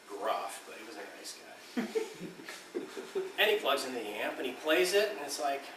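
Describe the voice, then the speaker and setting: A man's voice: medium pitch, very animated, unhurried, background noise. A man, an amp designer, telling a rambling story in casual talk, often laughing and acting out what others said. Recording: roomy and distant with faint ambience.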